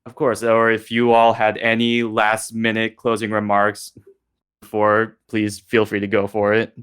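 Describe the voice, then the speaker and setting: A man's voice: fairly low pitch, completely flat delivery, a little slow, fairly bright tone, clean tone. A man hosting a casual discussion in a polite manner. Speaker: discussion host.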